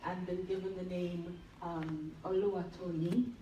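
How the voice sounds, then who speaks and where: A woman's voice: lowish voice, level tone, a little slow, highs cut off. Trinidadian woman speaking in an echoey hall. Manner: warm, enthusiastic and admiring. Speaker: nurse giving a tribute speech. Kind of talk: public tribute speech. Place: large event hall. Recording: echoey hall, distant, noisy.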